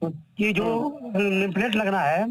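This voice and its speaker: Male, eastern Uttar Pradesh accent, high voice, repetitive and insistent, arguing in recorded phone audio. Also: fairly even intonation, slow, fairly bright tone, dry recording. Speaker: town council chairman. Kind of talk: heated phone argument.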